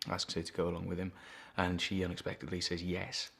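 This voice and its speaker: A man's voice: sits low, monotone, brisk, creaky. A man actor in a documentary interview, plainly explaining the part he plays.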